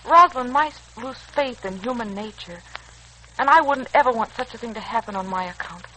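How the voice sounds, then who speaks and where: Bright, sincere, modest woman. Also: fairly high pitch, fairly quick. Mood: earnest. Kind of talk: 1940s radio serial acting. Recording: noisy old 1940s radio recording.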